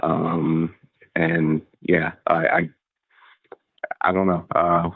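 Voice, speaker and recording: A man giving casual solo commentary on tech as a podcast host, talking in a halting, rambling way. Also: deep voice, little pitch movement, gravelly, no echo. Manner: halting, hedging, rambling delivery. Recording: narrow phone-like sound.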